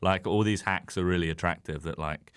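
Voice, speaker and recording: A man's voice: low voice, brisk, clear, resonant tone, no echo, studio quality. A man chatting casually as a comedian guest on a podcast, rambling, self-deprecating and sweary. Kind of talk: casual chat.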